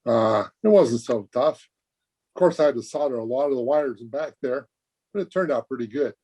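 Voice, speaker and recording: An actor playing an earnest, guileless teenage high school boy, chatting eagerly in an old-time radio comedy. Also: normal speed, background noise, lightly acted. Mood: proud, guileless. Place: remote recording over video call.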